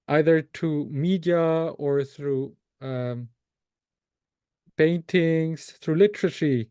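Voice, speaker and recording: A man from Austria whose first language is Tagalog, answering interview questions slowly, with many fillers and self-corrections. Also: a little high, mellow, smooth, dry recording, studio quality. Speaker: researcher and author, interview guest.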